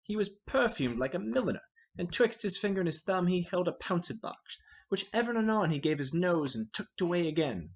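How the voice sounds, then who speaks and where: A man acts out a hot-headed young noble warrior in a Shakespeare play. He declaims with scorn and impatience, his raised, husky voice swinging widely. The recording is dull and muffled. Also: high-pitched, very animated, brisk, heavily acted. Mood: heated, scornful. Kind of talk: Shakespearean verse drama reading.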